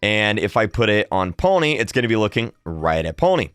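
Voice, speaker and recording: Clear, upbeat young man. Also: on the low side, sing-song, brisk, fairly bright tone, no echo. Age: young adult. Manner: upbeat, chatty. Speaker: gaming video host. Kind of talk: casual tutorial commentary. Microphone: close desk microphone on boom arm.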